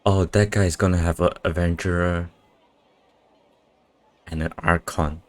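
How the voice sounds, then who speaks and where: A man streaming a game with casual, low-key, muttered commentary and offhand swearing. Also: steady tone, a little slow. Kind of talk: casual live playthrough commentary.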